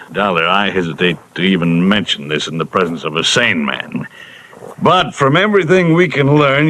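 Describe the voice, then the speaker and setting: A fretful, formal insurance executive in a vintage radio mystery. He hems and haws before every point, his pitch swooping widely, and his tone comes across bright and pointed. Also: a little hiss or hum, rough recording. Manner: hesitant, fussy, with frequent "uh". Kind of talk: 1950s radio drama acting.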